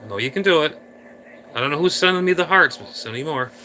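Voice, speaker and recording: A man's voice: fairly high pitch, animated, fast, sharp tone, slight background noise, low-quality recording. A man hosting a casual live stream, rambling playfully and talking straight to his viewers from a room indoors.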